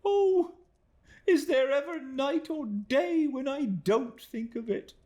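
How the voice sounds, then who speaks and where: A man voicing a desperate young woman who weeps and begs for mercy in a high, sobbing voice. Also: slow pace, a little mellow. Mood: pleading, sobbing.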